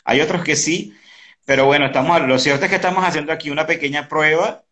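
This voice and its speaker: Halting man in his fifties. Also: on the high side, steady tone, a bit bright. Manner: halting, repeats words. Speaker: interview host. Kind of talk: casual conversational online interview. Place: indoor room at home. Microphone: video call, device close in front.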